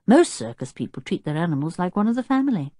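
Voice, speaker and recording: A woman doing a calm sensible older boy. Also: fairly low pitch, fast talker, no echo, studio quality. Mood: explaining. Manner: reasonable, explaining.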